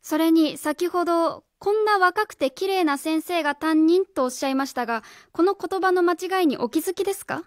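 A woman's voice: extremely high pitch, normal speed, hardly any pauses, no room sound, clean studio sound. A woman playing a stern, earnest young schoolteacher in a radio drama, formal, polite and probing. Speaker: voice actor.

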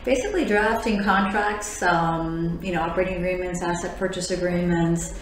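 A woman in her forties from Miami, a lawyer and podcast host, answering interview questions in a relaxed way with easy laughs. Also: lowish voice, normal speed, a little hiss or hum. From Miami, Florida. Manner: laughs easily, fillers between thoughts. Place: office lounge with large windows. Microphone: tabletop condenser mic. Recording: very echoey room, faint ambience.

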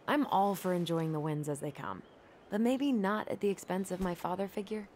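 A woman's voice: fairly low pitch, normal speed, a little mellow, slight background noise, close to an everyday voice. An actress playing an earnest, conciliatory young small-town woman with an American accent in a video game, speaking conversationally. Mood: soft, friendly. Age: young adult. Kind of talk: video game dialogue acting.

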